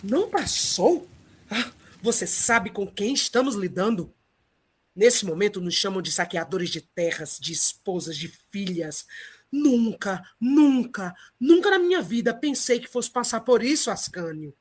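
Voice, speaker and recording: Mulher fazendo pai severo e indignado, voz rouca. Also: female voice, mid-range voice, lively intonation, unhurried, breathy edge. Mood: angry scolding. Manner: scolding, theatrical. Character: stern, indignant father, hero of Troy, scolding his son. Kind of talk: radio drama acting.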